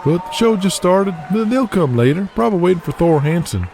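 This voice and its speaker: A man's voice: sits high, animated, fairly quick, gravelly, over music, pitched above the performer's own voice. A man voicing a chipper, naive male wrestler who reassures in an upbeat, rambling way in an audio drama. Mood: cheery, reassuring.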